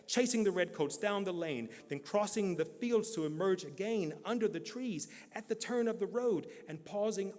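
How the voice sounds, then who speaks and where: A man's voice: fairly high pitch, a little mellow. A man giving a dramatic recitation of a poem, rising and falling for effect, in a large church hall. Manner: theatrical, swelling and dropping for effect. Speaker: poetry reciter. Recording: long reverb of a church hall.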